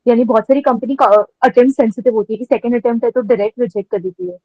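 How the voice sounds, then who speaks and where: A young Indian woman with a fairly high voice asks questions on a dull-sounding online call, close and dry. She is anxious and insistent, moving between Hindi and English. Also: steady tone, very fast, a little mellow, no room sound. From Mumbai, India. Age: young adult. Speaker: webinar caller, accountancy candidate.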